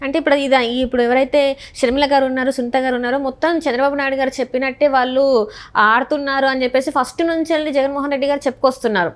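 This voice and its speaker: A woman's voice: high voice, fairly even intonation, racing. A woman speaking as an interviewer in a conversational political discussion.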